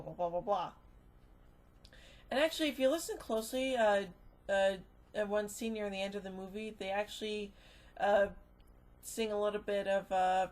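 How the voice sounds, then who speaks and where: A woman giving a casual, unscripted review, rambling and halting as she goes, often trailing off into filler phrases. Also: medium pitch. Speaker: movie reviewer.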